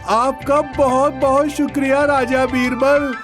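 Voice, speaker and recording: Man as very high-voiced grateful cartoon fellow. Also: flat delivery, slow, music in the background. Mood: grateful, relieved. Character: humbly grateful man, effusive and relieved. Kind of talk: cartoon story voice acting.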